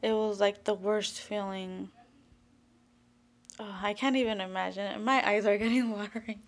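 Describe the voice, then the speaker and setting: Gravelly-voiced young woman, casual. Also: medium pitch, fairly even intonation, normal speed, a little hiss or hum. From Mexico. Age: late teens. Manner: casual, fills with "like" and "you know". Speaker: interviewee. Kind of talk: casual interview talk.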